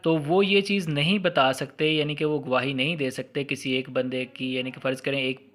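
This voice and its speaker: Male law lecturer, Pakistani accent, mid-pitched with a sharp, bright edge; didactic and explanatory, restates key points. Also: fairly even intonation, normal speed. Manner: didactic, repeats key points, mixes in English terms.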